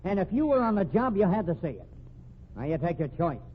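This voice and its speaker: An actor playing a tough, sardonic homicide detective in a radio mystery, brusque, slangy and hard-boiled. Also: male voice, sits high, sing-song, a little fast. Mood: bullying. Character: tough, sardonic, bullying male homicide investigator. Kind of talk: old-time radio mystery acting. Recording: dull, faintly noisy old radio recording.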